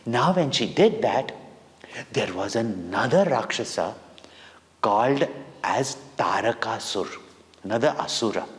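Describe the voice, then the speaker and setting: A man with an Indian accent telling a devotional children's story as a spiritual teacher, in simple words with dramatic pauses. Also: on the low side, expressive. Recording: long hall-like reverb on voice.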